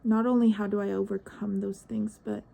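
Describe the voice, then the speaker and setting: Nineteen-year-old woman, vlogger; hesitant, reflective monologue, dark mellow tone. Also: medium pitch, flat delivery, a little fast, vocal fry. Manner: searching, hesitant, trails off into questions.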